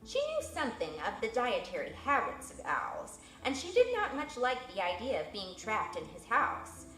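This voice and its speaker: A woman reads a storybook aloud in an animated, expressive way. Her voice is fairly high and bright, with lively pitch movement. The room is echoey, and faint music plays behind her. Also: moderate pace. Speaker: reader.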